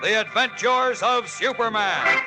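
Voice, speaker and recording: A man's voice: high-pitched, a little slow, low-quality recording. Dramatic old-time radio male narrator. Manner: dramatic, emphatic, suspenseful. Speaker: announcer, narrator. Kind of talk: 1940s radio scripted narration. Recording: old radio recording with loud music.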